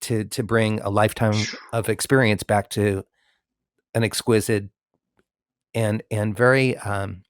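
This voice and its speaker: A man's voice: on the low side, fairly even intonation, measured pace, dry recording, clean studio sound. A man hosting an interview, asking long, friendly, rambling questions with many fillers. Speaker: interview host, musician. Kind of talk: conversational interview.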